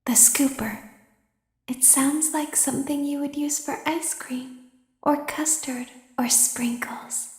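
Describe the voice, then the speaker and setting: A woman voicing a sinister, girlish female animatronic who talks in a soft, menacing whisper. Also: high voice, steady tone, slow, roomy sound. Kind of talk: fan voice acting of game lines.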